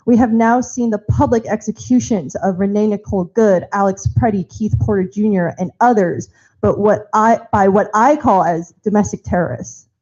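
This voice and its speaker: A woman's voice: medium pitch, moderate pace, darkish tone, husky, lo-fi sound. A woman on a party committee giving a firm, impassioned prepared speech in a large auditorium. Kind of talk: prepared speech at a public meeting.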